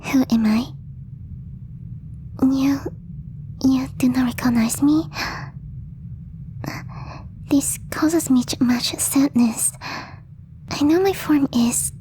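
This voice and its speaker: A woman voicing a gentle, timid alien girl in a soft, breathy ASMR roleplay, speaking formally and haltingly as she searches for words. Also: sits high, fairly even intonation. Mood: nervous, hesitant. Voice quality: breathy, whispery. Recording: long reverb, faint background noise.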